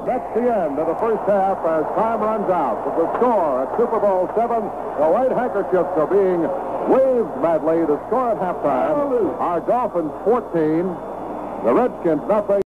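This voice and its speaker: An American man with a fairly high voice calls football play by play in an excitable, vivid style. It comes through a thin, narrow old radio broadcast, swamped in loud noise. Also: measured pace. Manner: excitable, vivid descriptive phrasing. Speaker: play-by-play sports announcer. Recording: tinny, very noisy 1970s radio broadcast.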